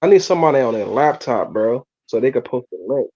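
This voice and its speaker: A man's voice: medium pitch, animated, fast, a little mellow. A man hosting a casual live sports stream over a phone, talking in slang and often repeating himself as he stumbles over words. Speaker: host of a live sports fan show. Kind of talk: casual live-stream chat about college football. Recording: dull, muffled phone audio.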